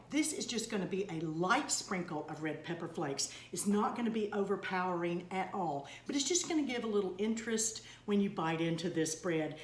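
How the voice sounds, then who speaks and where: An older woman, hosting a casual cooking demonstration in a large home kitchen and chatting homily while she works. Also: on the low side. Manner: chatty, homey, talks while working. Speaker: cooking segment host. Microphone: fixed camera across the kitchen counter. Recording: roomy kitchen with faint hum.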